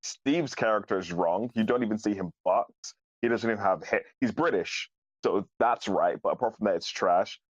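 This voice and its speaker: A man's voice: lowish voice, moderate pace, no room sound, muffled recording. A man talking casually as a podcast panelist, blunt and opinionated, prone to swearing.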